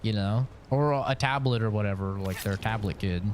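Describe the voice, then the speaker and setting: A man's voice: fairly low pitch, fairly quick, a little hiss or hum. A man in his late twenties chatting casually while he streams a game, rambling frankly with lots of fillers. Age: late 20s. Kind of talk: casual chat while gaming.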